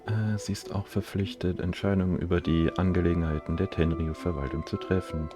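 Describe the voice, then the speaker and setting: A man's voice: low voice, steady tone, fairly quick, over music. A man chatting casually on a live game stream, commenting and reading dialogue choices aloud. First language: German.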